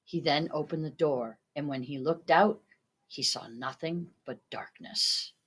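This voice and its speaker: A woman's voice: low voice, unhurried, husky. A woman narrating a story from a script, reading it aloud. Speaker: student reader.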